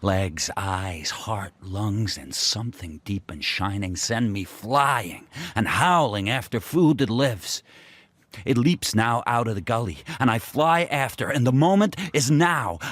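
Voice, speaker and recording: A man's voice: on the low side, lively intonation, fairly bright tone, no room sound. An actor playing a proud, fierce sled dog who tells his own hard life in solemn, intense radio drama monologue. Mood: exultant, fierce. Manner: solemn, deliberate, intense, short poetic phrases.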